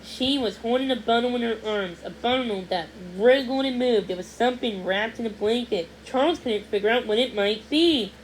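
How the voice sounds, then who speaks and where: A woman reading a children's storybook aloud as narrator, somewhat haltingly, sometimes repeating or correcting a word. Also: fairly high pitch, a little hiss or hum, low-quality recording. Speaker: read-along narrator.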